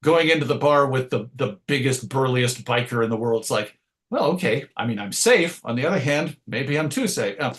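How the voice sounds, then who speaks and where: A man's voice: mid-range voice, animated, normal speed. Man giving reflective, rambling interview answers. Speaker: comics writer being interviewed.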